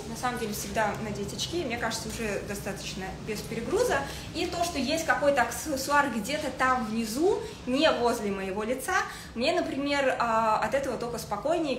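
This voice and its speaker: A woman in her thirties giving a casual livestream style lesson as a stylist, speaking haltingly with searching pauses. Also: a little high. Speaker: fashion stylist hosting a livestream. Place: indoors in a home living room with a desk. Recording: echoey room with faint noise.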